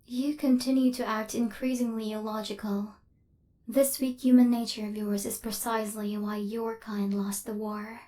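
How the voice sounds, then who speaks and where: Woman acting a haughty, high-voiced female killer robot. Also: little pitch movement, moderate pace, dark tone, lightly acted. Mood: incredulous, stern. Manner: formal, clinical, stilted robotic phrasing. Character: female killer robot hunter, cold, haughty, secretly flustered. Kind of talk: ASMR roleplay.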